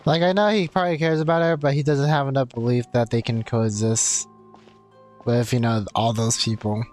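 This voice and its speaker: A man with a medium-pitched voice streams a game. He talks casually to his audience and comments on the story, with faint music behind him. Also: fairly even intonation, moderate pace, a little mellow. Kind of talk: casual livestream chat and reactions.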